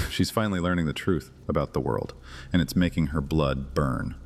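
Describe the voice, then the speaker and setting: An actor playing an ancient, imperious vampire high priest in a horror audio drama, commanding, mocking and theatrical. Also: male voice, moderate pace, slight background noise. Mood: menacing command. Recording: long cavernous reverb, faint music underneath.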